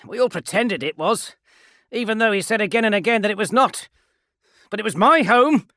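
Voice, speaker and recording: A man's voice: high-pitched, lively intonation, moderate pace, a bit bright, no echo, studio quality, pitched above the performer's own voice. A man voicing a principled young space marine who argues with impassioned, pleading defiance.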